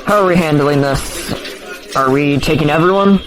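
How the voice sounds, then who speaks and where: A man's voice: on the high side, moderate pace, natural voice, rough recording. A man playing an uneasy air traffic controller in an audio drama, hesitant and questioning. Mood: concerned. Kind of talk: audio drama radio acting. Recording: busy crowded room behind.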